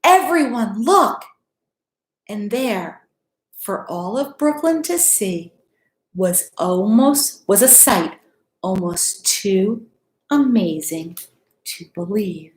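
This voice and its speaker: Middle-aged lady doing a kids read-aloud, teacher-y, really lively pitch, roomy webcam sound. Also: takes it slowly. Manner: expressive, teacherly, addresses listeners with questions. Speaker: school principal reading aloud to pupils. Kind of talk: children's storybook read-aloud with explanatory asides. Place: small room at home, indoors.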